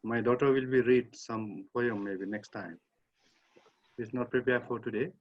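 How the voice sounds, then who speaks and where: A man's voice: mid-range voice, fairly even intonation, unhurried, muffled recording. A man with a Nepali accent chatting casually as a parent in a group discussion. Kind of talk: casual chat.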